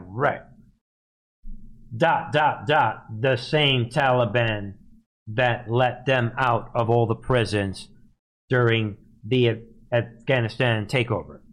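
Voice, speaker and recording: A man's voice: mid-range voice, a bit bright. A man hosting live political commentary, speaking emphatically and scornfully and repeating phrases to drive them home. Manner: scornful, mocking. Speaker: live news commentary host.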